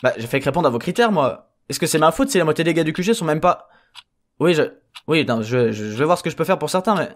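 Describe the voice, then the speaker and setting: A man's voice: fairly high pitch, lively intonation, fast, close to an everyday voice. Flustered, rambling man playing a henchman. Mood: flustered, defensive. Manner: rambling, hesitant, exasperated. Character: flustered, sarcastic, foul-mouthed henchman clone. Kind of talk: comedic audio drama acting.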